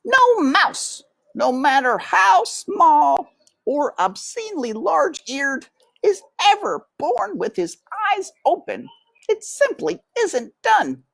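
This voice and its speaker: A woman's voice: high voice, sing-song, slow pace, creaky, a bit bright, dry recording, pitched above the performer's own voice, exaggerated voice, low-quality recording. A woman voicing a haughty, indignant aunt mouse in a storybook, making emphatic pronouncements.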